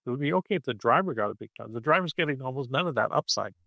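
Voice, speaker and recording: A man of middle pitch speaks as a podcast guest, keen to explain, and keeps checking in with the listener with a quick "okay?". The sound is dry and close, with no room around it. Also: expressive, gravelly, sharp tone, no echo. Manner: punctuates points with "okay?" and "right?". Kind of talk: animated conversational explaining.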